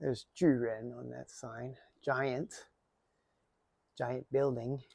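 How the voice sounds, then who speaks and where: Low-voiced man with a gravelly edge. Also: flat delivery, slow, darkish tone. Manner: chatty, rambling asides. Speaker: video host teaching Chinese characters. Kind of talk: casual vlog narration with language teaching.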